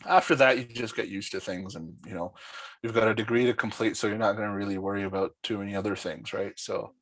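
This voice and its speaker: Young man from Dubai, podcast guest. Lowish voice, dry, close sound. Explains his points and keeps checking in. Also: fairly even intonation, fast talker, fairly bright tone, no room sound. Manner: explanatory, often ends points with "right?". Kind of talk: casual conversational interview.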